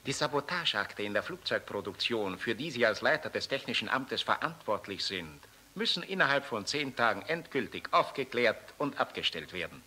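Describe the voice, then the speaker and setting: An actor playing a menacing, officious secret police official in a German radio play, formal and bureaucratic. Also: male voice, medium pitch, sing-song, moderate pace, sharp tone, husky, exaggerated voice. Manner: formal, clipped bureaucratic threats.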